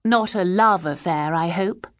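A woman's voice: on the low side, a little slow, dry recording, studio quality, close to an everyday voice. A woman voicing a stately, severe woman who runs an employment agency, speaking haughtily and crushingly. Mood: haughty.

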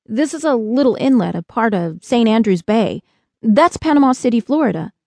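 Lady doing a shy kid, boy, kinda hesitant n casual, higher voice, audiobook. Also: expressive, moderate pace, gravelly, a little mellow, no echo, studio quality. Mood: puzzled. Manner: hesitant, stammering, informal. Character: young boy, awed, shy, casual-talking American kid.

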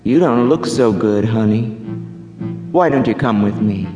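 A woman's voice: extremely low pitch, expressive, unhurried. An actress playing a mysterious, seductive woman in an audio drama, sultry and coaxing. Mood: seductive, menacing. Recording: echoey reverberant hall, loud music.

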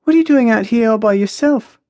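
A woman narrator voicing a lively, teasing, earnest young woman with a lyrical, expressive way of talking in a story. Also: a little high, fairly quick, no room sound, highs cut off, voice pushed up.

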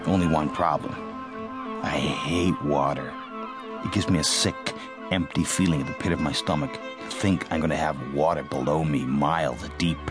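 A man's voice: a bass voice, steady tone, normal speed, over music. An actor playing a calculating, detached young American man, narrating dryly and confidingly in a radio drama. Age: young adult.

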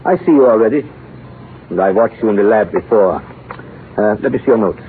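An actor playing an exacting older male science professor in 1930s radio drama, speaking in deliberate, didactic pronouncements on an old noisy recording. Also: lowish voice, narrow, tinny audio, close to an everyday voice. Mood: approving, instructive. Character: exacting older male bacteriology professor, blunt, devoted to research.

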